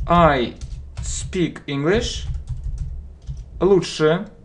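A man teaching an English lesson, dictating each phrase slowly word by word and repeating it, at a desk in his home. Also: on the high side. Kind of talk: slow dictation-style language lesson. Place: home room, indoors. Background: keyboard typing and clicks.

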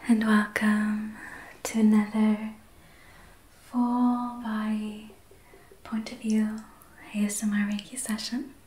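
Female ASMR Reiki host: breathy whisper, soft mellow tone, gentle and soothing, very even delivery. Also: mid-range voice. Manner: slow, gentle, soothing guidance. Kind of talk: soft-spoken whispered ASMR guided healing session.

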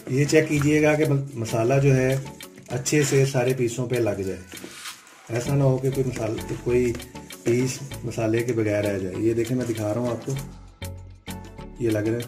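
Calm man with Pakistani Urdu accent teaching a recipe. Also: medium pitch, normal speed. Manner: calm step-by-step instructions, often saying "theek hai". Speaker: cooking channel host. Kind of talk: home cooking tutorial voice-over. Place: home kitchen. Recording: background music, oil sizzling. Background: music and frying sizzle.

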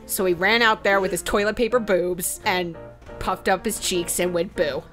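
A woman's voice: medium pitch, steady tone, moderate pace, a bit bright. A woman telling a story casually as a let's play host, giggly, slangy and rambling, acting out bits of dialogue as she goes, with music behind her. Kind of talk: animated casual storytelling recap.

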